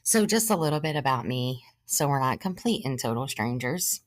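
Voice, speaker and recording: Earnest woman in her thirties, very deep voice. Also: expressive, no echo. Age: 30s. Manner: earnest, measured, with pauses. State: short of breath at times. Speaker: podcast host. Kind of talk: personal testimony monologue.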